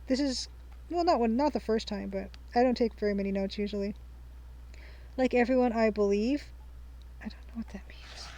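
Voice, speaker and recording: A woman's voice: fairly high pitch, mellow, poor audio. Chatty young woman thinking aloud over a game. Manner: chatty, thinks aloud, reads notes slowly. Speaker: let's-play host. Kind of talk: casual let's-play commentary.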